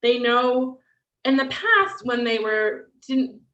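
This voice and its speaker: Bright-voiced woman lecturing over a video call. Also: fairly high pitch, lively intonation, slow. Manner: conversational, frequent "um" and "right?" tags. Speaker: guest lecturer. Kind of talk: online lecture with Q&A. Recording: distant, roomy, dull video-call audio.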